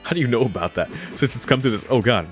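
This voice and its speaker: A man's voice: mid-range voice, animated, fast talker, soft background music. A man reading a visual novel aloud and giving casual, chuckly commentary as a streamer.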